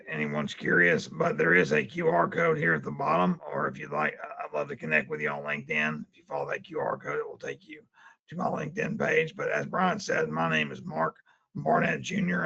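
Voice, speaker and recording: A man with a very raspy, strained voice, giving a webinar presentation as a CPA. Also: low voice, fairly bright tone. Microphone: webinar call. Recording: dull lo-fi webinar audio.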